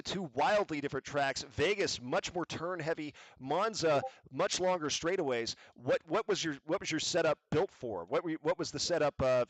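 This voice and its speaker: A man with a slightly high voice speaks as a stream broadcaster, asking long questions in a formal, chatty manner. His voice sounds close and dry, with no room sound. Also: fairly bright tone, dry recording, rough recording. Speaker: league broadcaster, interviewer. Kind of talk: live stream commentary interview. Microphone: desktop mic on voice chat.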